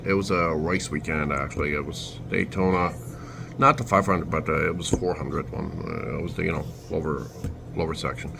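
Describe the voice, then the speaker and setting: A young man from Turkey with a Turkish accent, telling a story in his vlog in halting, self-correcting speech. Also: very deep voice, steady tone, gravelly. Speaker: vlogger. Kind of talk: casual storytelling. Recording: noisy background ambience.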